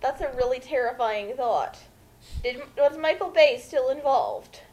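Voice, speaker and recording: A woman's voice: high-pitched, unhurried, darkish tone. A woman chatting casually as a commentator, with a questioning, incredulous manner. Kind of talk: casual chat.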